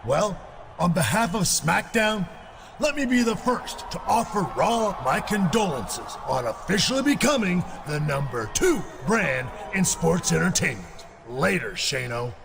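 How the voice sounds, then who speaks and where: An actor playing a confident, sarcastic wrestling champion in a video game cutscene. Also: male voice, high-pitched, very animated, measured pace, vocal fry, background noise, exaggerated voice. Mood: cocky. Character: cocky male wrestling champion, quick with comebacks.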